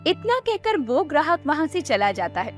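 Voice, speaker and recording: A woman narrating a scripted cartoon story in an expressive storytelling style. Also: sits high, moderate pace, soft background music.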